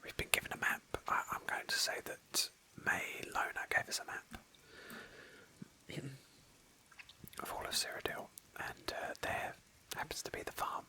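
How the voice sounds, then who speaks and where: A woman speaking in a hushed, breathy whisper close to the microphone, softly narrating a video game roleplay as an ASMR host. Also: a little slow, low-quality recording.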